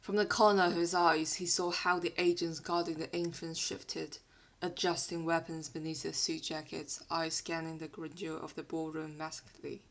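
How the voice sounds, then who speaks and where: A woman who is not a native English speaker, with a British-influenced accent, reads fiction aloud in an expressive, literary storytelling manner. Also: sits low, moderate pace, rough recording. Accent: British-influenced non-native English. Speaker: podfic reader. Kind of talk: dramatic scripted fiction narration.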